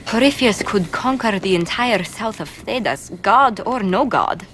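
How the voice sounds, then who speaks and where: An actress playing a resolute female advisor to a war leader in a fantasy video game, speaking gravely. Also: mid-range voice, animated, unhurried, a bit bright, a little hiss or hum. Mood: urgent concern. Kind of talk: dramatic video game acting.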